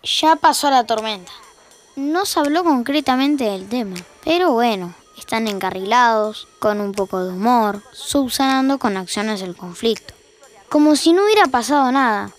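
Una actriz con acento rioplatense interpreta a una narradora que comenta con ironía las peleas de una familia en una ficción sonora. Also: female voice, fairly high pitch, expressive, normal speed, faint music behind, lightly acted. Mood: wry. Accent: Rioplatense Argentine. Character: wry female observer commenting on a family's quarrels. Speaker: narrator in an audio fiction. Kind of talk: wry commentary on the scene.

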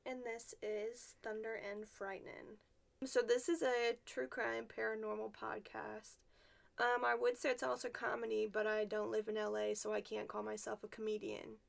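A woman's voice: fairly high pitch, flat delivery, moderate pace, vocal fry. A young adult woman co-hosting a casual podcast while sick, joking and poking fun at herself. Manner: joking, self-mocking. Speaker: podcast co-host. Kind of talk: casual chat.

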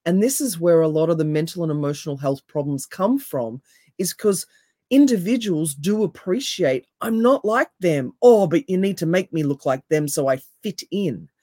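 A woman with a deep, raspy voice, speaking as a coach in an interview in an emphatic, animated way. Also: normal speed, no echo, studio quality. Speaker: NLP coach and hypnotherapist, guest. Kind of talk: casual interview chat.

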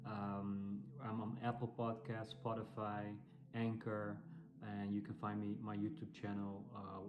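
A man from the Netherlands with a Dutch accent, a ministry founder introducing himself to camera, speaking haltingly with many fillers and restarts. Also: lowish voice, monotone, dull audio, noisy background.

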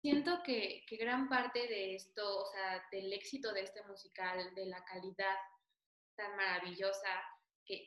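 A woman's voice: mid-range voice, bright, forceful tone. A woman with a Mexican accent, hosting a casual conversational interview. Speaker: host interviewing a guest. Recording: roomy echo on the voice.

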